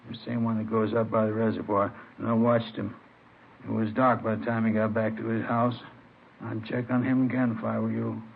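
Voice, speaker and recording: An American actor playing a spiteful, small-minded neighbor with an insistent, gossipy manner in a 1950s radio crime drama. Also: male voice, on the low side, flat delivery, fairly quick, gravelly. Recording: tinny, noisy old radio broadcast recording.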